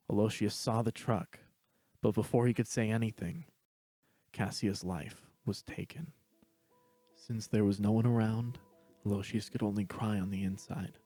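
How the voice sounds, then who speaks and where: A man's voice: lowish voice, darkish tone, no room sound. A man reading scripted story narration as the narrator.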